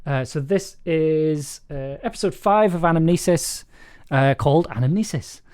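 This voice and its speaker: A man hosting a casual livestream chat, talking in a halting, self-interrupting way and prone to chuckling mid-sentence. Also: a little high, darkish tone, smooth. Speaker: streaming host and audio drama maker.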